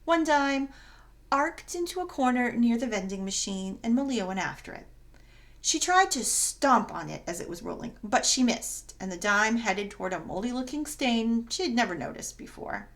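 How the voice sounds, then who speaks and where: A woman reading a children's story aloud as narrator, in an expressive, dramatic and emphatic way. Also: fairly high pitch, normal speed. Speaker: story reader.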